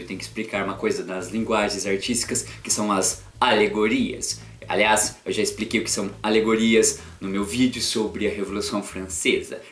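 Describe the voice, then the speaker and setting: A man's voice: on the low side, a bit bright, echoey room. A young Brazilian man, an art teacher and cartoonist, talks playfully and self-mockingly to his webcam about art, swinging his voice up and down for drama. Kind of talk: theatrical educational vlog. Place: small bedroom with bookshelf. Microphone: webcam framing, seated facing camera.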